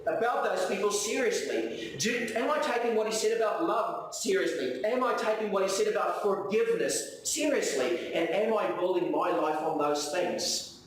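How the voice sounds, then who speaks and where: A South African man, native in Afrikaans, delivers an earnest sermon in a fairly high voice. He repeats phrases and poses questions to his listeners. The sound is heavily reverberant and picked up from some distance, with faint noise. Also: moderate pace. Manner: earnest, rhetorical repetition and questions. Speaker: preacher. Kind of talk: sermon with reflective readings. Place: church hall, live service. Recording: very echoey room, distant mic, faint noise.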